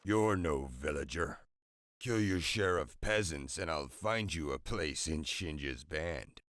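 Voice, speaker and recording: A man's voice: very deep voice, lively intonation, slow pace, fairly bright tone, full character voice. A man playing a menacing, sneering bandit henchman in a video game, on a noisy recording. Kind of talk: dramatic video game cutscene acting.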